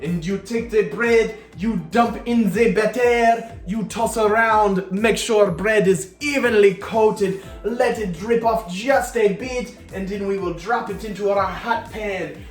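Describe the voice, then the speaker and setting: A jolly chef with a put-on French accent, acted by a man, cooks on a children's show, playful and hammy. He talks slowly and gropes for words. The kitchen echoes, and faint music plays beneath. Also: sits high.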